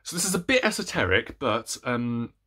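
A man in his thirties chatting casually to camera as a book vlogger, playful, rambling and animated, with self-deprecating asides. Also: mid-range voice, moderate pace. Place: small room at home with bookshelves.

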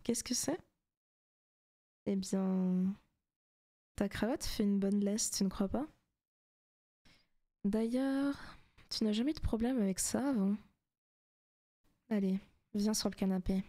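Soft, teasing demon girlfriend acted by a woman. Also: mid-range voice, slow, pauses often. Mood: coaxing, teasing. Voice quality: soft, often breaking into whispers. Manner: teasing, coaxing, possessive. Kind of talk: intimate ASMR roleplay.

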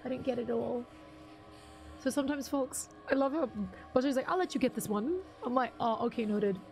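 A young woman with a husky voice, chatting casually with viewers on a game stream, rambling and restarting her sentences. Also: sits high, a little fast, a little mellow, a little hiss or hum. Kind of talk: casual live-stream chat reading viewer comments.

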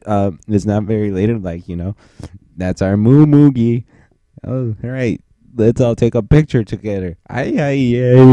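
A man's voice: fairly low pitch, warm tone, dry recording, low-quality recording. A man doing a goofy, improvised parody dub as an amateur voice performer, with crude joking and silly repeated nonsense. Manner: silly, repetitive nonsense ad-libbing.

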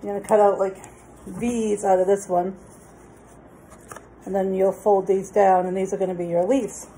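A middle-aged woman giving children informal step-by-step craft instructions, plainly, pausing as she demonstrates. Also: medium pitch, level tone, dark tone, a little hiss or hum, low-quality recording. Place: indoors, dining table in a home.

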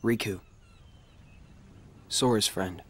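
A man's voice: lowish voice, slow, a bit bright, slightly rough, exaggerated voice. An actor playing a reserved, brooding young man in a video game, terse and understated. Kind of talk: dramatic game cutscene dialogue.

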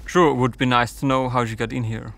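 A man's voice: medium pitch, fairly even intonation, quick pace, vocal fry, fairly bright tone. A man acting a reasonable, doubting crew member in an audio drama, questioning and reasoning aloud. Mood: reproachful.

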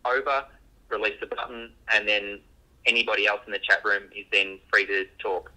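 A low-pitched man with a very sharp, bright edge comes through a walkie-talkie link. He explains things off the cuff, haltingly, repeating words as he goes. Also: little pitch movement, poor audio. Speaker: engineer being interviewed. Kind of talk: unscripted interview explanation.

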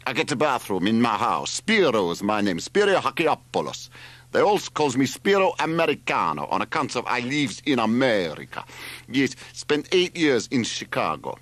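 Loud, gruff taxi driver. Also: male voice, sing-song, moderate pace, no echo. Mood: boastful, eager. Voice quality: rumbling, roaring. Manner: blunt, boastful, mangled grammar. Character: gruff, barrel-bodied Greek taxi driver with broken American-learned English.

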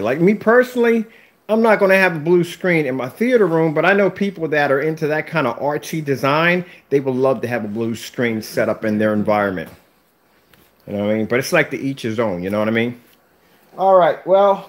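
A man, chatting casually to viewers in his home theater room and repeating himself as he rambles. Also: on the high side. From Philadelphia. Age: 50s. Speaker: screen paint vlogger. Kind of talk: casual chat to viewers while livestreaming.

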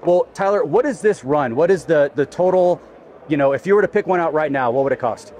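A man's voice: fairly high pitch, fairly quick, darkish tone, a little hiss or hum. A man in his thirties hosting a casual product interview, enthusiastic and agreeable as he talks. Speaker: video host interviewing exhibitors. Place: busy indoor expo hall booths.

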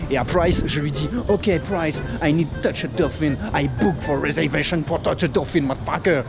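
A man's voice: fairly high pitch, normal speed, vocal fry. A man, chatting casually and expressively to camera in slangy vlog style. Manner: slangy, expressive, animated storytelling. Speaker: vlogger. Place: large glass-roofed indoor park with palms. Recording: music behind the voice. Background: loud background music.

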